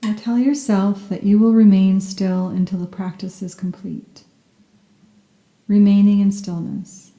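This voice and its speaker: A woman leads a guided relaxation as a meditation teacher. Her voice is very warm and dark, sitting at a medium pitch. She speaks softly and soothingly with gentle, unhurried phrasing, and the recording has a noticeably roomy echo. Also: level tone. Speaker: yoga nidra meditation guide. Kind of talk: guided meditation, scripted narration.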